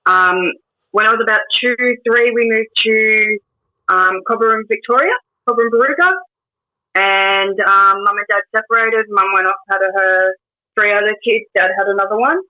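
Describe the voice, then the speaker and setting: A woman in her twenties with an Australian accent speaks as an interview guest, her pitch sitting in an ordinary middle range. She talks in a halting way, full of pauses and restarts. Her voice comes through a narrow, tinny line that sounds like a phone call. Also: fairly even intonation. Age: 20s. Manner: hesitant, many ums and restarts. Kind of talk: casual interview storytelling.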